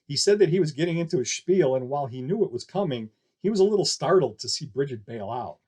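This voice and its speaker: Easygoing showman boss, voiced by a narrator, male: folksy, placating, lively intonation, slightly husky. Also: a little high, fast. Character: easygoing, cigar-chewing male flying circus boss. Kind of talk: audiobook character dialogue.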